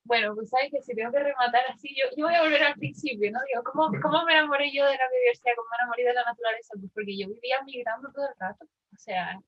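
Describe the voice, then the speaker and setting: A young woman from Argentina speaks casually and personally. Her voice sits fairly high and has a marked husky rasp. She is candid and informal, with youthful slang, and the recording is slightly dull. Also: fast talker, few pauses, fairly bright tone. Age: 20s. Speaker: participant in a group discussion. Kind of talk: casual, personal reflective talk.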